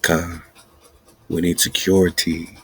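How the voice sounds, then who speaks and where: Male host, very low and monotone, husky; emphatic sermon-like talk, close dry sound. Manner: slow, emphatic, repetitive, with laughs. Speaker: podcast host. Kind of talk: casual preaching-style monologue.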